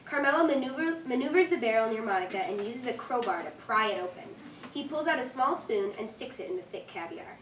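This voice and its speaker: A woman's voice: fairly high pitch, expressive, brisk. A woman reading stage directions as scripted narration at a staged reading. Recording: echoey room, distant mic, faint noise.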